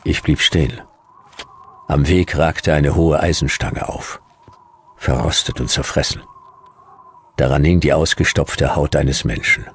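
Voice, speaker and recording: A man's voice: extremely low pitch, fairly even intonation, measured pace, long pauses, slight background noise. A man playing a hard-boiled, world-weary detective in a radio play, speaking in terse, clipped sentences. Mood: grim, weary. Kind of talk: radio play narration, noir.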